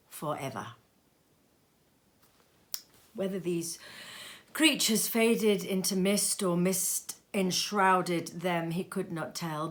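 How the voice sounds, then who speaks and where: A woman reading a classic story aloud as expressive narration, with dramatic, deliberate and emphatic phrasing. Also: fairly low pitch. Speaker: storybook reader.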